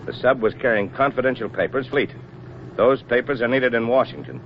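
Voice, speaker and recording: An actor playing a stern, middle-aged Navy admiral in a 1940s radio drama, speaking in a formal, measured, authoritative way. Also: male voice, mid-range voice, lightly acted. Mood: grave, businesslike. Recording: muffled, noisy 1940s radio recording.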